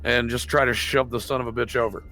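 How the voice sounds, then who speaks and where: A man's voice: medium pitch, level tone, fast talker, bright, forceful tone, faint music behind. A man playing a tabletop roleplaying game, chatting casually with the group.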